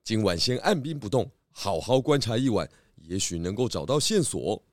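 A man playing a calm, kindly pig detective in a children's audio drama. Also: lowish voice, normal speed, no echo, clean studio sound. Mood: calm, serious. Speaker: detective lead of children's story podcast.

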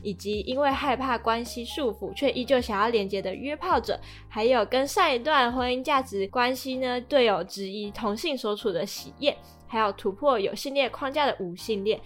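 A woman hosting a podcast, animated and self-deprecating, moving between scripted introduction and casual chat. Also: fairly high pitch, moderate pace, hardly any pauses, faint music behind. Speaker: podcast host.

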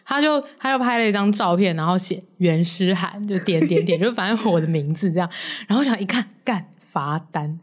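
A woman's voice: fairly low pitch, expressive, brisk, few pauses. A young woman with a Taiwanese accent, a podcast co-host telling stories casually in a jokey, blunt way, laughing often. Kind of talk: casual chat and storytelling. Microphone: close stick microphone.

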